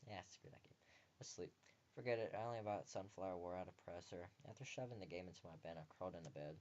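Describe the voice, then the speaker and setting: A man's voice: low voice, fast talker, lo-fi sound, noisy background. A young man reading a visual novel aloud as a let's player, expressively, with casual wry asides. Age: young adult.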